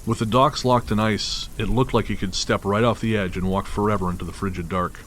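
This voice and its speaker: A man narrating a scripted first-person horror story in a measured, literary storytelling manner. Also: lowish voice, fairly even intonation, a bit bright, slight background noise.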